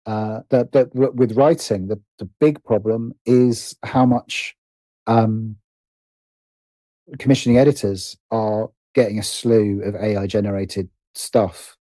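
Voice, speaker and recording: Man with very mellow voice, halting delivery. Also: on the low side, fairly even intonation, dry recording, low-quality recording. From United Kingdom. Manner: hesitant, repeats and rephrases phrases as he thinks. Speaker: guest, writer and producer. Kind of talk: casual interview conversation.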